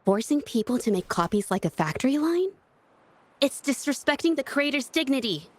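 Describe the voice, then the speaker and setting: A woman voicing a gentle, earnest young painter in a video game, speaking softly and hesitantly but with quiet resolve. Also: high voice, big pitch swings, no room sound. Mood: indignant. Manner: hesitant, soft-spoken, halting. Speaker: game character.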